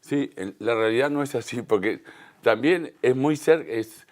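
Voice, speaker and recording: A man's voice: medium pitch, expressive, unhurried. A man from the Río de la Plata with a Rioplatense Spanish accent, answering as an interview guest in an explanatory, emphatic way. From Uruguay and Argentina, Río de la Plata. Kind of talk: conversational television news interview.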